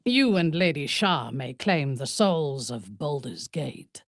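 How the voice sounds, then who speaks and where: A ruthless dark elf noblewoman from a fantasy video game. Her low voice moves slowly and deliberately, swinging widely in pitch. She is imperious and sardonic, with formal diction and cool menace. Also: animated, dry recording, clean studio sound, full character voice. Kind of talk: video game character voice acting.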